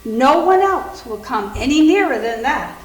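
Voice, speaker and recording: A woman's voice: high voice, expressive, measured pace. Woman playing a cold, ominous housekeeper, echoing room. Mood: ominous warning. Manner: stiff, formulaic, repetitive. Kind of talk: staged readers theater. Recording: roomy, echoing hall with hum.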